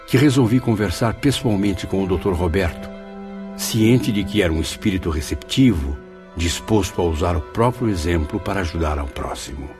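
A male actor as a reflective spirit remembering admired companions. The voice is fairly low, formal and literary, in radio-drama narration with light music behind. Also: natural voice.

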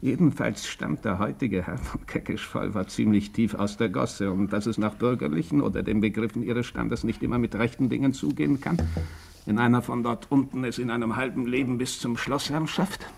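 An actor playing a blunt, shrewd doctor with a deliberate, sardonic, probing manner in a German radio drama. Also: male voice, mid-range voice, gravelly, a little mellow, a little hiss or hum, close to an everyday voice. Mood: frank, insistent. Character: blunt, shrewd, bespectacled male country doctor.

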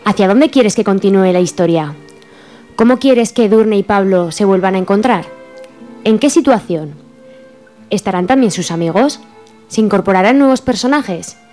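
A woman from Spain narrates a radio drama from a script, with a Spain accent. Her pitch is average, and soft music plays faintly underneath. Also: animated, normal speed. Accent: Spain Spanish.

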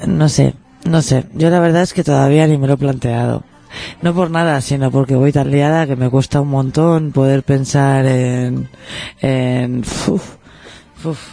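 A woman's voice: rumbling low, steady tone, unhurried, gravelly, mellow, soft background music. A woman co-hosting a live radio show, chatting casually and reflectively, sometimes trailing off with sighs. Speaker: radio co-host. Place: radio studio.

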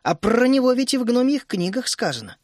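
Fierce gnome matron, loud and scolding, a male narrator putting her on; high, dry read. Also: big pitch swings, a little fast, dry recording. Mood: thoughtful. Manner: shouting, scolding, indignant. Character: stern bossy female gnome housewife, fiery.

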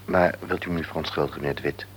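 A man's voice: rumbling low, fairly even intonation. A man playing a cautious, formal doctor. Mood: earnest. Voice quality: measured, formal. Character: cautious, frank male hospital doctor. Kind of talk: radio play acting.

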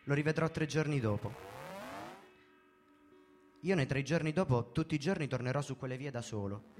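A man reading a first-person story in a measured, theatrical way, as a dramatic narrative performance. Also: mid-range voice. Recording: music behind the voice. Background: background music.

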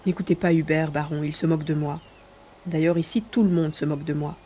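A woman's voice: deep voice, fairly even intonation, fairly quick, mellow, slight background noise, close to an everyday voice. A woman playing a bored, romantic provincial spinster in a French audio drama, with a mannered, theatrical delivery. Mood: indignant.

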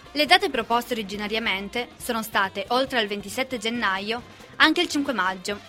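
A woman's voice: fairly high pitch, bright, forceful tone. A young woman from Italy co-hosting a history radio segment and reading a scripted commentary. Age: young adult.

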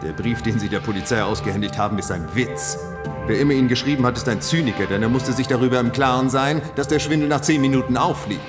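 An actor playing a skeptical, exasperated defence lawyer, formal and insistent, in a German radio drama. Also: male voice, mid-range voice, fast, over music, natural voice.

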